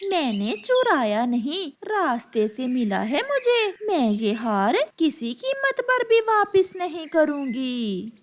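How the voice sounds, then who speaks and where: A woman's voice: sits high, very animated, slow pace, few pauses, a little hiss or hum, heavily acted. A woman voicing a greedy, sly and insistent female crow in a children's moral-story cartoon. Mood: defiant, scheming.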